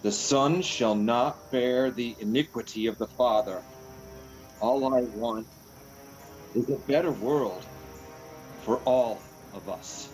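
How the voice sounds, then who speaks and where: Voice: mid-range voice, slow pace, pauses often, darkish tone, soft background music, lightly acted, rough recording. A performer playing an unsure, earnest young male knight in a fantasy radio play. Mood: earnest, pleading. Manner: hesitant, earnest.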